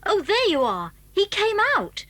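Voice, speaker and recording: A woman's voice: extremely high pitch, huge pitch swings, measured pace, fairly bright tone, dry recording, full character voice. A woman playing a keen, secretive schoolboy in a children's audio drama, telling things in eager, boyish phrases. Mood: excited, conspiratorial.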